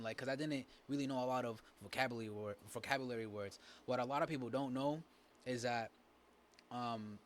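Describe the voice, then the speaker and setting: Rambling young man, halting speech. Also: mid-range voice, slight background noise. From New Bedford, Massachusetts. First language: Spanish. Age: young adult. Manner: rambling, halting, many fillers and restarts. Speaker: guest. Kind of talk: casual podcast chat.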